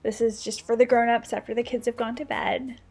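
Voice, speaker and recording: Woman, 30s, higher voice, casual cooking voice-over with soft music underneath; chatty and practical. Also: little pitch movement, fairly quick, slightly rough. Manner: chatty, practical, explains tips as she goes. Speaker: stay-at-home mom cooking vlogger.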